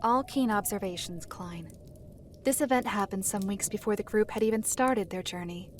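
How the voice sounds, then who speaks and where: A woman playing a composed storyteller of dark lore, measured, formal and authoritative, in an audio drama. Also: mid-range voice, slight background noise, natural voice. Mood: knowing.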